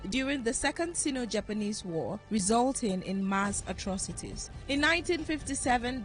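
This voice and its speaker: Female presenter, fairly high voice, scripted factual narration over faint music. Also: rough recording. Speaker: radio presenter. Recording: faint music bed underneath.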